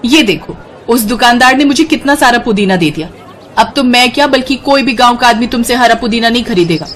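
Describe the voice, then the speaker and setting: A woman's voice: a little high, lively intonation, brisk, fairly bright tone, a little hiss or hum. A woman voicing an indignant village customer in a moral story, scolding and complaining sharply. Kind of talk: Hindi moral story voice acting.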